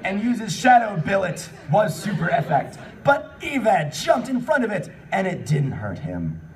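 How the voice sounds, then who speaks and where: A man's voice: high voice, wildly swooping pitch, measured pace. A man hosting a live comedy reading, exclamatory and riffing, breaking from the text into casual asides. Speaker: host and reader at a live comedy panel. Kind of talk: animated comic read-aloud with casual asides. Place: convention panel room. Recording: distant mic, live audience chatter.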